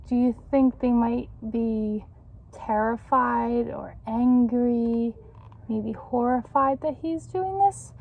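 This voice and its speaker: Slow, high-voiced woman reading to children. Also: vocal fry, narrow, tinny audio. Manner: slow, instructive, pausing to question young listeners. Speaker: tutor reading a children's book aloud. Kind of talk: children's read-aloud with comprehension questions.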